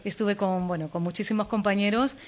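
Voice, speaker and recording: A woman singer from southern Spain with an Andalusian accent, chatting warmly and quickly as a radio guest. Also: mid-range voice, steady tone. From Lebrija, Andalusia, Spain. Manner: warm, chatty, quick storytelling with laughs. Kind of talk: casual radio interview.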